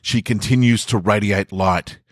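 A male podcast host with a notably low voice, recorded dry and close. He talks to listeners and reads short fiction as a storyteller, with a sense of drama. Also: fairly even intonation, unhurried, no room sound. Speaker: podcast host and fiction writer reading own stories. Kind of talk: chatty intro and dramatic first-person story narration.

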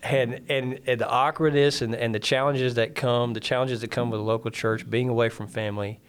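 A man's voice: mid-range voice, fairly even intonation, smooth. A man with a Southern American accent, a missionary pastor earnestly telling his church story in an interview. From Southern United States. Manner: earnest, quick-talking, frequent restarts.

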